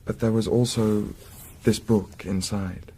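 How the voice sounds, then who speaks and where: Man a composed, probing Victorian gentleman sleuth for radio drama: lowish voice, formal precise phrasing, noisy ambient background. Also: fairly even intonation. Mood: firm, explanatory. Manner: formal, measured, precise diction. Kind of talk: period radio drama acting.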